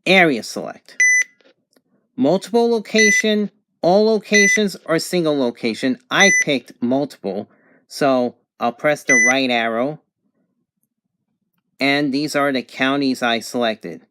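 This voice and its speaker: A person giving a casual product review and demonstration in a halting, hesitant way. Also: mid-range voice. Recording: clear background noise, amateur home recording.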